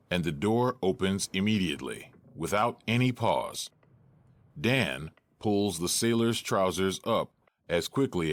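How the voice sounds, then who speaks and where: A man reading scripted stage directions as narrator, measured and matter-of-fact. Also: low voice, dry recording.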